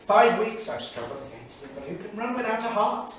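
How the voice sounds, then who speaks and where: A man's voice: high-pitched, sing-song, brisk, a bit bright. A man playing a bitter, grieving 1938 academic, reading his journal aloud in a solemn, literary, emphatic way on stage. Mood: anguished. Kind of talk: staged dramatic reading of diary entries. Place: small studio theatre, staged reading. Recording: echoey theatre, distant mic, faint noise.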